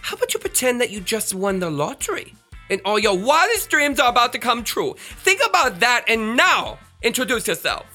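A woman's voice: a little high, big pitch swings, moderate pace, sharp tone, soft background music, full character voice. A woman voicing a flamboyant, bossy, self-adoring radio star in a children's comedy audio drama, with a theatrical manner. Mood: exasperated. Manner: theatrical, exasperated, showy.

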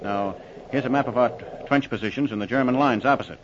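An actor playing a cautious, deferential young officer in a 1940s radio war drama, speaking a little hesitantly. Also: male voice, medium pitch, fast talker, natural voice. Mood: polite. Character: polite, cautious male army scout lieutenant. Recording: muffled, noisy old radio recording.

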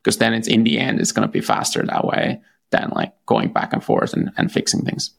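A man with a Finnish accent answers interview questions in a relaxed, hesitant way, pausing and restarting often with lots of fillers. His low voice carries a strong gravelly fry, and his pitch swings widely from phrase to phrase. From Finland. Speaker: guest, startup founder.

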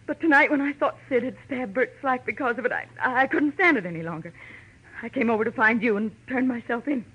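Troubled noir woman, raspy husky voice, earnest, dull old 40s radio sound. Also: on the high side, a little fast. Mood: shaken, confessing. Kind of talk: 1940s radio drama acting. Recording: muffled vintage radio recording.

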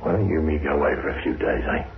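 An actor playing a cocky, smooth-talking young suitor with a sinister edge, glib and coaxing in an old radio drama. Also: male voice, very deep voice, rapid-fire, very noisy. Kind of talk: 1960s radio drama acting. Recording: tinny old radio recording.

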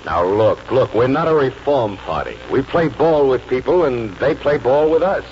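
An old radio drama with dull sound and faint hiss. A pragmatic party politician, acted by a man, scolds in a brusque, folksy way. His pitch moves around a great deal as he talks. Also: lively intonation, normal speed, lightly acted. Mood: brusque, dismissive. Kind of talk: 1940s radio acting.

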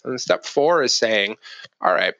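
A man's voice: medium pitch, expressive, a little slow, fairly bright tone, no echo, muffled recording. A man explaining business informally as a podcast guest, thinking aloud and correcting himself as he goes. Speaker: podcast guest, marketing executive.

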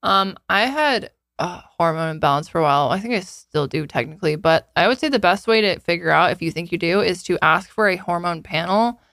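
A woman of twenty-seven chatting casually and candidly as a podcast co-host, informal and quick to swear. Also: fairly low pitch, expressive, fairly bright tone, no room sound. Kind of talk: casual chat answering listener questions.

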